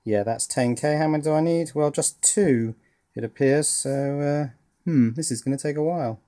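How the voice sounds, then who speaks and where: A middle-aged British man casually narrating a hands-on hobby project, thinking aloud with hesitant pauses. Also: on the high side, warm tone. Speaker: electronics hobbyist presenter. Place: indoors at a workbench.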